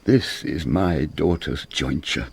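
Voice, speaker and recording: Very deep, raspy grieving lord. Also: male voice, slow pace, a little mellow, full character voice. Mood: grieving, humbled. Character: grieving old nobleman, humbled, reconciling. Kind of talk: classical Shakespearean radio drama acting.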